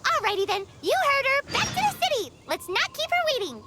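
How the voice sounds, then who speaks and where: A woman voicing a tiny, cheeky, childlike fairy companion in an anime-style video game, squeaky and exclamatory. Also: big pitch swings, fairly quick, very noisy. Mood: chirpy. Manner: exclamatory, refers to self by name. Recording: music behind the voice.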